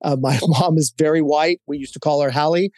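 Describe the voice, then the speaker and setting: A man's voice: on the high side, steady tone, fairly quick, a little mellow, no room sound, studio quality. A man in his fifties who grew up in Hawaii, giving candid, storytelling interview answers with frequent fillers and restarts. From Hawaii, United States. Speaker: guest, corporate executive.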